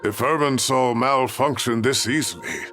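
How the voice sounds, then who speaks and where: An actor playing a troubled researcher in a video game, emphatic and given to thinking aloud, with a gravelly creak. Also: male voice, mid-range voice, normal speed, sharp tone, faint music behind, lightly acted. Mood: pondering, doubtful. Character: expressive, troubled male ley line researcher. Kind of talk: video game cutscene voice acting.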